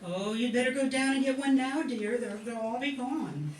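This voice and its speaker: Woman playing a high-voiced, practical radio mom. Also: animated, normal speed, close to an everyday voice. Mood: matter-of-fact. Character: practical, gently fretful family mother. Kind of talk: old-time radio comedy acting. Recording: roomy, distant mic, faint noise.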